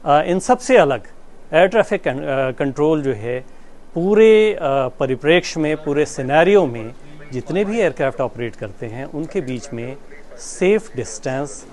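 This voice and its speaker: A man with an Indian accent giving a formal, explanatory address as a manager, in measured phrases with pauses mid-sentence. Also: a little high, expressive, a little mellow. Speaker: air traffic control manager. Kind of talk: explanatory public address to camera. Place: inside an airport control tower.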